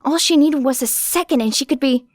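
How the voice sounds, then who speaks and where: A woman's voice: high-pitched, fairly quick, no room sound, clean studio sound. A woman reading a story expressively as an audiobook narrator. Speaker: audiobook reader. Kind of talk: expressive scripted fiction narration.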